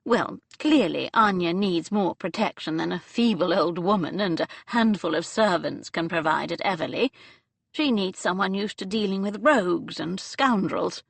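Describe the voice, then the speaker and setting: A woman narrator voicing an elderly aristocratic dowager duchess who speaks in a lofty, brisk, upper-class manner. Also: fairly low pitch, gravelly, husky, no room sound. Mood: decisive.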